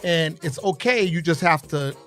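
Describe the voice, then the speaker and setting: A man's voice: fairly high pitch, normal speed, a bit bright, faint music behind. A man speaking as a talk show guest, reflective and easygoing, laughing easily. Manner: reflective, laughs easily, "you know" fillers. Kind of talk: casual live show chat.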